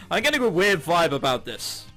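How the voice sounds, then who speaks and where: A man reading a visual novel aloud as a gaming host, animated, with jokey asides and game music playing behind him. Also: a little high, measured pace. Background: game background music.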